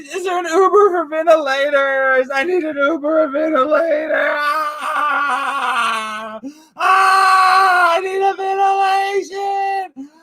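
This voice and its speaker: A man's voice: extremely high pitch, steady tone, takes it slowly, very noisy, voice pushed up. A man playing an over-the-top sick man who gasps, panics and wails melodramatically. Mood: wailing. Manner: melodramatic wailing and shouting.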